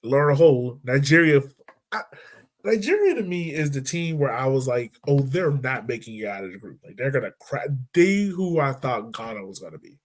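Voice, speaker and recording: A man's voice: mid-range voice, sing-song, moderate pace. A man co-hosting a sports podcast in casual chat, rambling, correcting himself mid-sentence and laughing easily. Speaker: podcast co-host.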